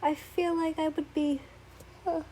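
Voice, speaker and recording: A woman's voice: sits high, little pitch movement, measured pace, dark tone, slight background noise, pitched above the performer's own voice. A woman voicing a young woman who speaks in a firm, insistent way. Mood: reasoning.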